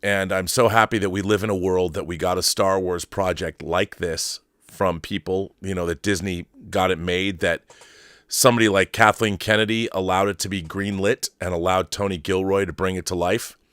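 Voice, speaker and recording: Male livestream host, middle-aged, lowish voice, casual and opinionated fan talk with halting restarts, close dry sound. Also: fairly even intonation, a bit bright, no echo. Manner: halting, rambling, repeats words while thinking. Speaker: host of a film fandom livestream. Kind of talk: casual commentary, answering viewer messages.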